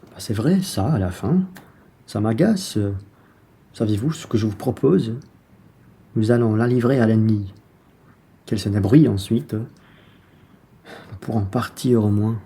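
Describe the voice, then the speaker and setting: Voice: mid-range voice, measured pace, long pauses, dark tone, slightly rough. An actor playing a blunt, irritable, self-serving bourgeois traveller in a French radio play, with a brusque manner. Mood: irritated.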